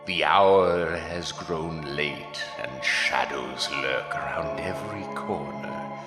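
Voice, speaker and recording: Slow, echoing sinister horror narrator. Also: male voice, on the low side. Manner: theatrical, ominous, drawn-out. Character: sinister, gleefully macabre male horror narrator. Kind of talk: scripted horror radio drama introduction. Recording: cavernous reverb with music behind.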